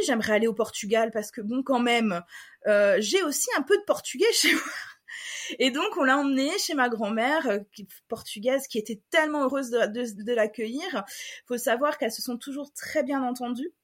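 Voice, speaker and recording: A young woman from France speaks as a podcast guest in a high voice. She talks through her story haltingly, with lots of fillers and false starts. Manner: hesitant, many fillers and restarts. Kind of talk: casual personal testimony.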